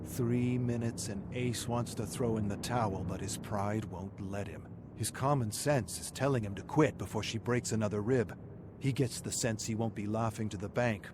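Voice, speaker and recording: A man's voice: on the low side, noisy background. A man narrating a dramatic story from a script in an intense, suspenseful manner.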